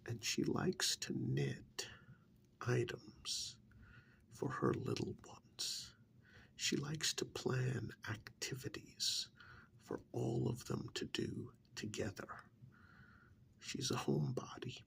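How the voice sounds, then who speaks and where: A softly whispering vampire envoy acted by a man speaks in an ASMR role-play. His voice is thick with gravelly fry and takes its time, pausing deliberately. He sounds chatty and gently scolding, with pitch that swoops widely. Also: big pitch swings, full character voice. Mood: confiding, soothing. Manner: slow, deliberate, drawn-out pauses, conversational.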